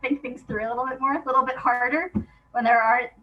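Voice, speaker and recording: A woman talking casually and reflectively as an interview guest, in an informal, halting way, thinking aloud as she goes. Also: high voice, fairly even intonation, highs cut off.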